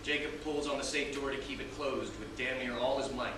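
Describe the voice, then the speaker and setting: A man's voice: mid-range voice, fairly even intonation, brisk. A man reading scripted scene directions as narrator, recorded at a distance in a very echoey, noisy room.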